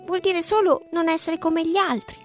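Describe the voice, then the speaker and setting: Woman doing a gentle lonely young bear. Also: extremely high pitch, level tone, moderate pace, faint music behind, pitched above the performer's own voice. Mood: earnest.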